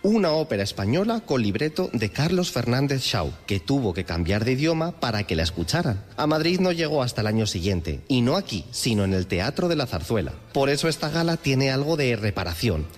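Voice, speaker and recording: A man's voice: medium pitch, big pitch swings, fairly quick, few pauses, long reverb tail, faint music behind. A man explaining language on the radio, using examples. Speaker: language commentator.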